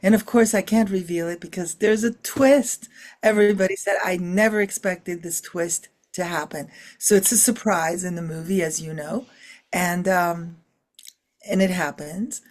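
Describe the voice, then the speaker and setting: Woman, middle-aged, low-pitched, expressive, interview guest, casual. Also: normal speed. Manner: expressive, warm about family, frequent fillers. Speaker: filmmaker and actress being interviewed.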